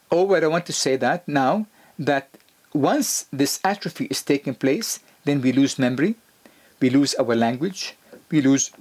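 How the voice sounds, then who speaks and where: A man giving an emphatic explanatory lecture on health, often rising sharply in pitch on key points and restarting his sentences. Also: a little mellow.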